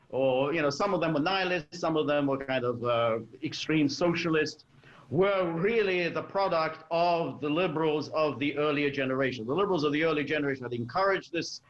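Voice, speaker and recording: A man giving measured, explanatory commentary as a guest in a political panel discussion. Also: on the high side, a bit bright. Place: remote call for a quarantine show. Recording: dull lo-fi connection.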